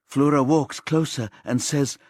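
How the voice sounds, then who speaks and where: A man narrating a thriller audiobook in a measured, suspenseful storytelling manner. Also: on the high side, steady tone, a little mellow, no room sound, studio quality.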